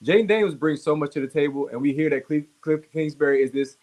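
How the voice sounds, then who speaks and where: A man co-hosting a live sports post-game show in a measured, steady way. His voice sits a little high for a man. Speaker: podcast co-host. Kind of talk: casual sports talk.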